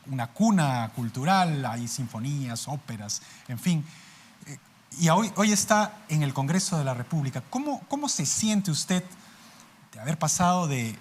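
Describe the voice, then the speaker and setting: A man from Peru hosting a political television interview, framing his questions slowly with many hesitations. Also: medium pitch, slight background noise. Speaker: TV interviewer and program host. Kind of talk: broadcast interview.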